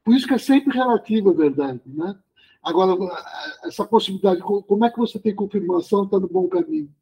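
A man's voice: high-pitched, animated, quick pace, warm tone, husky, rough recording. A man leading a study group discussion, musing aloud and explaining, often checking in with tag questions.

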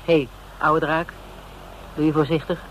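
An actor playing a police inspector's wry, familiar companion in a radio drama, talking informally and teasingly. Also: male voice, a little high, level tone, normal speed, fairly bright tone, dull audio, a little hiss or hum, natural voice. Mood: familiar, caring. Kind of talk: Dutch radio drama acting.

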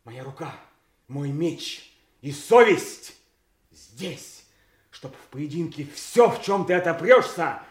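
Slow, solemn knight issuing a challenge. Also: male voice, a little high, animated. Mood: defiant, ringing. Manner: declamatory, deliberate, swelling in emphasis. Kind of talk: classical stage-style radio drama acting. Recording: echoey audio play recording.

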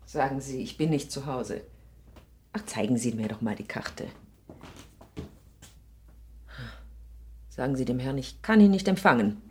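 An actress playing a proud, wounded middle-aged mother in a 1960s German radio play, husky and dignified, with theatrical emphasis. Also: extremely low pitch, expressive, normal speed, slight background noise. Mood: agitated.

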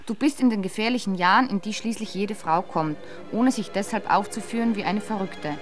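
An actress playing a composed, sharp-witted woman novelist in a German radio drama, matter-of-fact and dryly ironic. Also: mid-range voice, brisk, music in the background, lightly acted. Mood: reading aloud. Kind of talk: German radio drama acting, often reading aloud.

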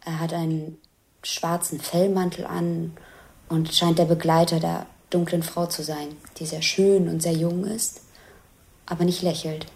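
An actress playing an observer who precisely and plainly describes scenes one by one in a radio drama. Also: female voice, on the low side, little pitch movement, a little slow, vocal fry, a little mellow. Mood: matter-of-fact. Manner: matter-of-fact, precise.

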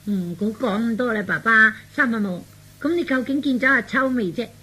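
A man's voice: very high-pitched, fairly quick, sharp tone, husky, pitched above the performer's own voice, heavily acted, low-quality recording. A man voicing a petulant, lovesick young daughter, whining and insistent, in Cantonese storytelling drama. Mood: sulky, impatient.

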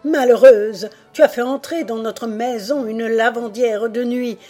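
A woman's voice: on the high side, steady tone, measured pace, faint music behind, natural voice. A woman voicing a frightened, protective old grandmother in a storybook tale, urgent and breathless. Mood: frantic alarm. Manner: breathless, urgent, hushed warnings.

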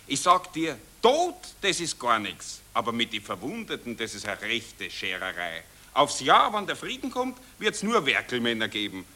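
An actor playing a blasé, cynical young Austrian officer with an offhand, slangy Viennese manner in a 1940s German radio play. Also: male voice, a little high, pushed and bright, full character voice. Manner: offhand, slangy, affected nonchalance.

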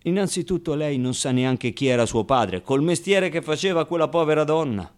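A man's voice: a little high, normal speed, natural voice. A man playing a brusque male doctor in a radio play, firm and admonishing yet reassuring. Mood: firm, impatient.

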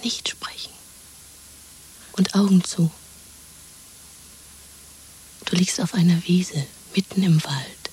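An actress playing a tender, dreamy young woman lover in a 1970s German radio drama, speaking in an intimate, coaxing, hushed whisper. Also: on the low side, a little slow, slight background noise, lightly acted, rough recording. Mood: tender, soothing. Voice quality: breathy, whispering.

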